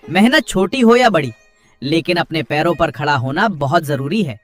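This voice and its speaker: A humble boatman from a cartoon tale, acted by a man, speaks in a high, very lively voice. He is hard-working and kind, and lectures plainly. Soft music plays behind him. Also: normal speed, natural voice. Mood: admonishing. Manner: plain-spoken, admonishing. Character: kind, hard-working village boatman, grumbling but humane. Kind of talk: dramatised cartoon story dialogue.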